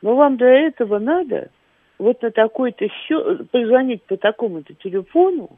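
A woman in her nineties calling in by phone, telling a story briskly and with dry humor. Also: on the high side, expressive, dry recording. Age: 90s. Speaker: caller. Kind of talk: phone-in chat. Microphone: telephone. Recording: tinny phone line.

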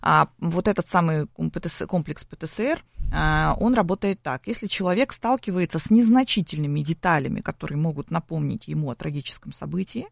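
A woman's voice: sits low, lively intonation, brisk, vocal fry, few pauses, no echo, studio quality. A middle-aged woman explaining things as an expert guest in an interview, in a didactic way with rhetorical questions. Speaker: psychology expert guest on a broadcast.

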